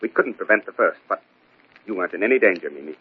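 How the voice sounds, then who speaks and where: An actor playing a tough, confident police detective in an old-time radio drama, blunt and matter-of-fact, in a muffled recording. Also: male voice, low voice, lively intonation, fast, slight background noise.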